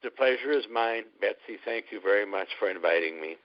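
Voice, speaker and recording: An older man with a Midwestern American accent, a guest doctor answering interview questions in a measured, hesitant way with many ums. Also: on the low side. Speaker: guest obstetrician. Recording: muffled radio audio.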